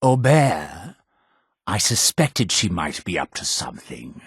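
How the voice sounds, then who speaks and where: A suspicious, stern cult leader for a video game. His voice is husky and fairly low, swinging widely in pitch while staying formal and deliberate. He sounds like a solemn preacher, fatherly on the surface and menacing underneath. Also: very animated, dry recording, studio quality, full character voice. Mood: calm, pious. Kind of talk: scripted video game dialogue.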